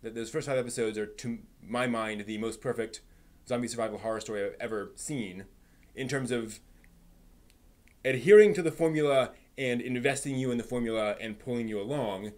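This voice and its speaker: A man hosting a casual live stream, thinking aloud in a halting, rephrasing way while giving emphatic opinions. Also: lowish voice. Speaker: livestream host. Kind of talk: casual live-stream chat, answering viewers' comments.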